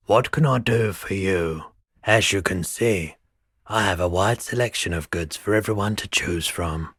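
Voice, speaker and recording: A man voicing a mild, wry, world-weary pawn shop keeper in a video game, soft-spoken, polite and reflective. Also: low-pitched, no room sound, clean studio sound. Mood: polite, calm. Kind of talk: game character dialogue.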